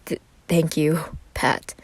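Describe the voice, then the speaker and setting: A woman voicing a tall, nervous young man in a story, shy and awkward, with halting speech. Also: low-pitched, fairly even intonation, breathy edge, full character voice. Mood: flat, awkward. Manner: halting, stammering.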